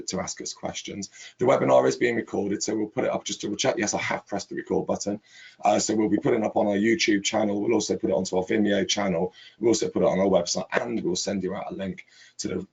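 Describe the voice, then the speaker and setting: Croaky guy hosting a webinar, low gravelly voice, repeats words in long lists. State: hoarse with laryngitis. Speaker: webinar host, chief executive. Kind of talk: webinar presentation.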